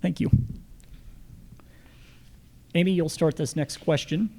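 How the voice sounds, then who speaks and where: A man's voice: a little high, steady tone, slow, warm tone. A man with an American accent moderating a candidate forum and reading out questions.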